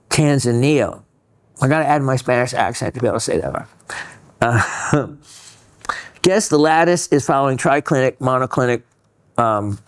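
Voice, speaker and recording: A man's voice: medium pitch, no room sound. Rambling man hosting a gem show. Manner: rambling, many fillers and restarts. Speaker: host reading viewer mail. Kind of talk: casual educational chat.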